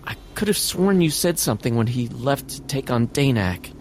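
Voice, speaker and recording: This is a man acting a high school boy thrust into heroism in an audio drama. He sounds unsure and halting, in a mid-range voice with faint ambient noise. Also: expressive, close to an everyday voice. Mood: puzzled. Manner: hesitant, stammering. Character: nervous, self-doubting American high school boy hero.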